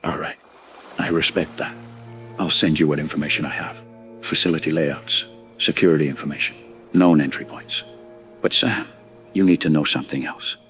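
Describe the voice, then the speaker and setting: A grim informant in an apocalyptic audio drama. His voice is very low, and he delivers grave warnings in a measured, emphatic way over clearly audible music. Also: steady tone, a bit bright. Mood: sombre. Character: grim male informant warning of bioweapon outbreak.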